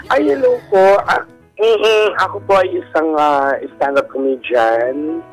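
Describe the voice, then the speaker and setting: A Filipino man with a campy, animated way of talking, telling his personal story casually as a guest on a radio call-in show. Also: fairly high pitch, slow pace, low-quality recording. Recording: radio call-in over background music. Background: music.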